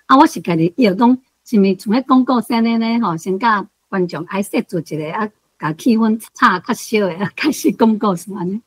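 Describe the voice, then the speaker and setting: A woman's voice: mid-range voice, a little fast, few pauses, breathy edge, no room sound. A woman with a Taiwanese accent putting a conversational question to an author as a guest.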